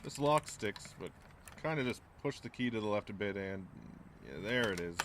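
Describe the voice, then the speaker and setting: An actor playing a gruff, wary small-town landlord in an audio drama, speaking in a curt, folksy, ominous way. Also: male voice, lowish voice, lively intonation, normal speed, a bit bright, a little hiss or hum. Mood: matter-of-fact.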